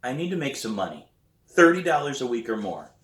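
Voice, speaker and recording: A man's voice: medium pitch, big pitch swings, a little fast, fairly bright tone, echoey room, voice pushed up. A man voicing a determined, guarded young teen dealing with a younger sibling in a storybook reading. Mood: bargaining. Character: determined, exasperated older sibling, music-obsessed young teen drummer.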